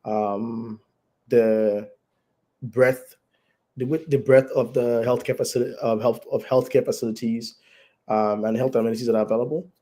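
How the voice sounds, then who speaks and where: A man, a guest doctor, hoarse and raspy from the flu, explaining at length over a video call and correcting himself as he goes. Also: mid-range voice, fairly even intonation, moderate pace, dark tone. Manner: long winding explanations with self-corrections. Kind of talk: explanatory interview answer.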